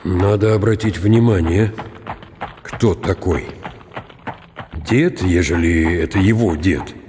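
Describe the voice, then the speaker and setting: An older actor playing a shrewd, ambitious old field marshal, musing deliberately to himself in a radio play. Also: male voice, deep voice, background noise. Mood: wary musing. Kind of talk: radio drama monologue. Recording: long hall reverb, music underneath. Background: music.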